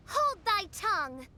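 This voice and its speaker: Very high, theatrical young girl. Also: slight background noise, exaggerated voice. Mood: haughty. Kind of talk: video game character voice acting. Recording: loud music behind.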